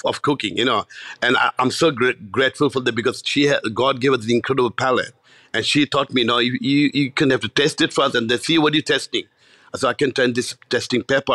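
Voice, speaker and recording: Animated Bangladeshi man, bright voice. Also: medium pitch, no room sound. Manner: animated, many restarts and repeats. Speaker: chef and restaurateur. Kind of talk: casual interview storytelling.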